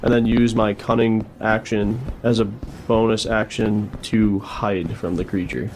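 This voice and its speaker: A man's voice: lowish voice, steady tone, a little slow, darkish tone, a little hiss or hum, rough recording. A man talking casually as a player at a tabletop role-playing game.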